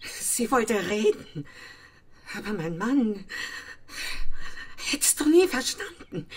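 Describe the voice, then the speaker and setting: High, unhinged, breathy woman. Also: big pitch swings, slow, darkish tone, full character voice. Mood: bitter, hysterical. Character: unravelling murderous mother, bitter and unhinged. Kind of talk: German crime radio drama acting.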